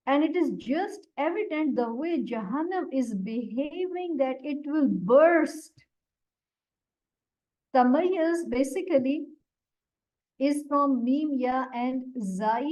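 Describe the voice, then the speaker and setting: A woman whose first language is Urdu, teaching a Quran lesson and explaining Arabic words one by one in a deliberate way. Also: high-pitched, a little mellow. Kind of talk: slow explanatory religious lesson. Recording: dull lo-fi recording.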